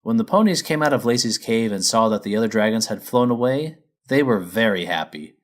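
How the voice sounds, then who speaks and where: A man's voice: on the low side, animated, fairly quick. A man reading a children's story as its narrator, in a scripted storybook style.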